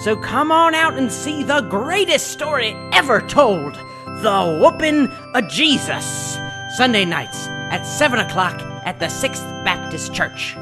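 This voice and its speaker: A woman's voice: sing-song, measured pace, over music. A woman voicing an earnest church passion-play actor selling tickets in a parody radio advertisement. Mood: earnest, salesy. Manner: earnest salesy pitch.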